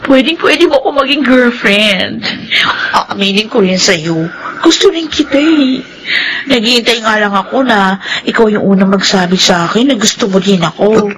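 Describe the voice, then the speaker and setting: A woman's voice: mid-range voice, moderate pace, background noise, lightly acted. An actress with a Filipino accent playing a playful, forward young woman coaxing a shy suitor in a radio drama. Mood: delighted, affectionate. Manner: playful, coaxing, teasing questions.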